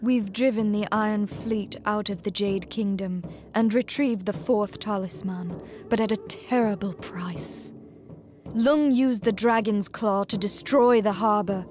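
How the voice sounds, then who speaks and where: Woman playing slow, solemn noblewoman commander. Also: medium pitch, darkish tone, slight background noise, natural voice. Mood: grave. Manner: solemn, measured. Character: grave, determined noblewoman war leader with a wounded past. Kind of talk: video game cutscene acting, dramatic briefing.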